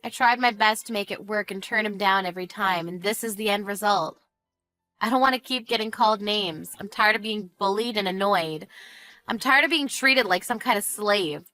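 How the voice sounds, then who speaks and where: A woman's voice: mid-range voice, normal speed, bright, forceful tone, faint music behind, close to an everyday voice, rough recording. A woman voicing a fed-up, sarcastic wife with a cutting, smug manner in a narrated family drama. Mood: fed-up, firm. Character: fed-up, sarcastic young wife taunting her in-law.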